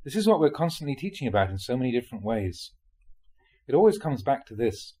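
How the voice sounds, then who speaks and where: A male reader delivers a spiritual teaching in an explanatory, measured manner. His mid-pitched voice has a husky grain and moves expressively up and down as he sets out questions and explanations. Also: very animated, mellow. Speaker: monk reading dharma talks aloud. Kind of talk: reading a spiritual talk aloud.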